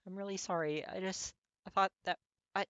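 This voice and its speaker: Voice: medium pitch, fairly even intonation, normal speed, fairly bright tone, dry recording, pitched above the performer's own voice, natural voice. A performer voicing a desperate, earnest teenage boy who stammers and pleads with a breaking, tearful voice. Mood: crying, apologetic. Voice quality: raspy.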